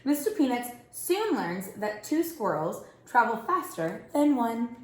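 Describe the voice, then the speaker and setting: Animated woman reading a children's story. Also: fairly high pitch, unhurried. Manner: expressive, addressing young children. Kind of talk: children's picture book read-aloud. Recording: roomy, echoing indoor sound.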